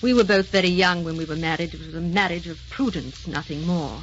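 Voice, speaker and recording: An actress playing a proud, wronged and resigned wife in an old-time radio drama, formal and restrained. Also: female voice, fairly low pitch, brisk, natural voice. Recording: muffled, noisy old radio recording.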